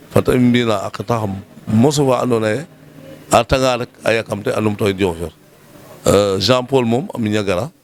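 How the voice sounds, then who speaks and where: A man speaking at a municipal meeting, presenting a project in a measured way, often repeating words for emphasis and pausing with "euh". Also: lowish voice, darkish tone. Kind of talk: formal speech mixing French terms.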